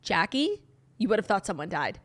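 Woman, podcast co-host, a little hoarse, gushing, quick "like"-filled chatter. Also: medium pitch, very animated. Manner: gushing, fast, heavy use of "like". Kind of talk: casual chat.

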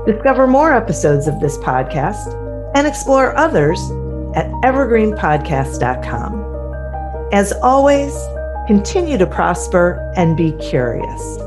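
A woman hosting a business podcast, asking rambling questions in a friendly way and reading a scripted outro. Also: on the low side. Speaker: podcast host.

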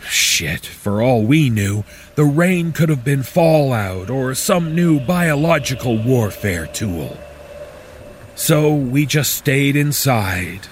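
A man playing a weary, bitter disaster survivor, narrating a horror story in the first person with deliberate, dramatic pauses. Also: mid-range voice, slight background noise. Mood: bitter, frustrated.

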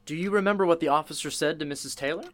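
An actor playing an inquisitive interviewer in a radio play, sympathetic but probing. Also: male voice, a little high, racing, fairly bright tone, close to an everyday voice.

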